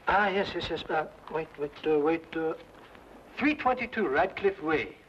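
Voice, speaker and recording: Flustered prim English gentleman, British accent, very animated pitch, exclamatory, vintage radio drama, hissy old recording. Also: measured pace, lo-fi sound. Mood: flustered, disbelieving. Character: fussy, flustered, indignant English gentleman householder.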